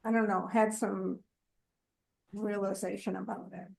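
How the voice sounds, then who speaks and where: An older woman speaking reflectively and haltingly in a casual book discussion. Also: mid-range voice, flat delivery, vocal fry, darkish tone, rough recording. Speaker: book club member.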